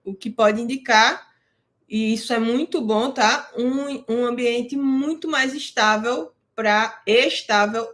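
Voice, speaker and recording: A woman's voice: a little high, a bit bright. Woman giving casual crypto market talk. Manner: conversational, checks in with listeners often; explains terms plainly for beginners. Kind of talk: casual market analysis to camera.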